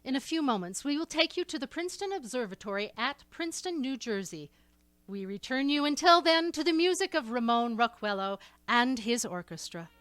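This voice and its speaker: A woman playing a news bulletin announcer in a 1930s-style radio drama, formal and measured. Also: sits high, expressive, fairly bright tone, dry recording. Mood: formal, composed.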